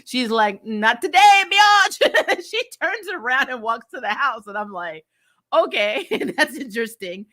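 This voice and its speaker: A woman casually recapping TV episodes as a vlog host, animated, often acting out lines and dropping in "you know". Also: high voice, measured pace. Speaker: host of a comic TV review vlog.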